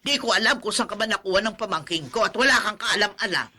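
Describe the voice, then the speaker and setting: A woman's voice: mid-range voice, expressive, fast talker, pushed and bright. A woman acting a fussy, middle-aged aunt in a radio drama, exclaiming and nagging in a melodramatic way. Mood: exasperated scolding. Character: scolding older aunt, fussy and quick to complain.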